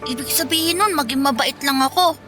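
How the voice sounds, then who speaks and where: An earnest little Filipino runaway boy in a radio drama. The childlike voice is high and bright, polite and grateful toward elders. Music plays faintly behind. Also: a little fast. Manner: polite, deferential.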